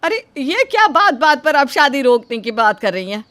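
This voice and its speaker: A fairly high voice plays a suspicious parent of the groom , acted by a woman. The delivery is indignant and scolding, full of exclamations for a family drama story. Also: big pitch swings, moderate pace, fairly bright tone. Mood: flustered.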